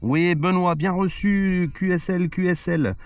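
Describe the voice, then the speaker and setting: French-speaking man over loud radio static. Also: high-pitched, fairly even intonation, unhurried. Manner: spells out call signs, repeats phrases when calling. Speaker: amateur CB radio operator and vlogger. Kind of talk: casual outdoor vlog and radio calls. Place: outdoors on a hillside by the sea.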